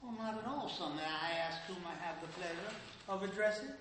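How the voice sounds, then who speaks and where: A man's voice: high voice, a little fast, close to an everyday voice. A man playing a prim old Southern grandma. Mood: genteel. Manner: genteel, scolding, flirtatious. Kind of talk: stage play reading. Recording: echoey hall with faint noise.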